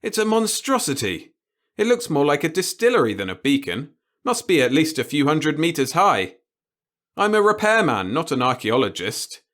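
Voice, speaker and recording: Guy doing fed up space mechanic in a scifi audiobook, indignant, higher voice, sarcastic and defiant. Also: sing-song, normal speed, a bit bright. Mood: appalled, indignant. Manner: indignant, complaining. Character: defiant, sardonic male interstellar repairman.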